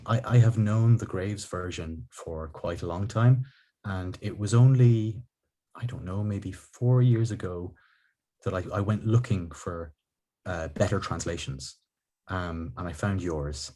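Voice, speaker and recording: A man raised in Ireland, hosting a video-call interview from his living room, speaking thoughtfully with many pauses and restarts. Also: low voice, warm tone. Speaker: podcast host. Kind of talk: reflective conversational interview.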